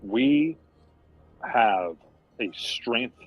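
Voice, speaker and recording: A man playing a proud noble envoy in a tabletop game, declaiming grandly and deliberately in short phrases. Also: medium pitch, lively intonation, lo-fi sound, faint music behind. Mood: solemn, rousing.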